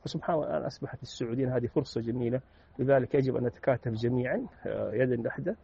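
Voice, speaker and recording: A man from Saudi Arabia with a Saudi accent, giving earnest formal commentary as an interview guest and filling pauses with drawn-out "aah" sounds. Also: mid-range voice, vocal fry, dark tone, husky, dull audio.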